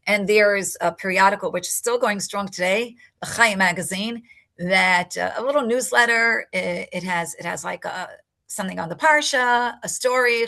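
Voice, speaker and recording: Older woman, gravelly voice, reflective, emotional, telling her story in an interview. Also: medium pitch, pushed and bright. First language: English. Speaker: interview guest.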